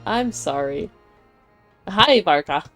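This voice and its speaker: A woman's voice: lowish voice, expressive, slow, over music. A woman giving casual, gushing reaction commentary as a game streamer. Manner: gushing, exclamatory.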